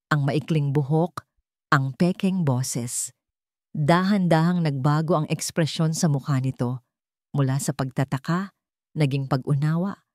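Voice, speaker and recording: Low-pitched Filipino woman narrating drama, dry sound. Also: dark tone, no echo. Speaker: storyteller. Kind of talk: scripted dramatic narration of a Tagalog romance drama.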